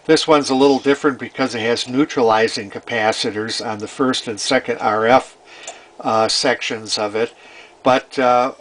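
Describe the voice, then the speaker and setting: A man with a medium-pitched voice explains technical repair work in an informal way. He goes through it methodically, with a halting delivery, often repeating words and phrases as he demonstrates. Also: steady tone, a bit bright, slight background noise, rough recording. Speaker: vintage radio repair hobbyist presenting.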